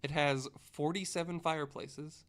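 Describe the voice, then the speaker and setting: A man's voice: on the high side, fairly even intonation, moderate pace, a bit bright. A man on a casual podcast panel, presenting researched facts in a conversational way and partly reading from notes.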